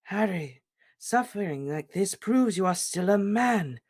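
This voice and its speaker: A reader voicing an old male headmaster wizard, speaking in a calm, measured, gentle way. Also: mid-range voice, pitched above the performer's own voice. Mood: serene. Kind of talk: audiobook character reading.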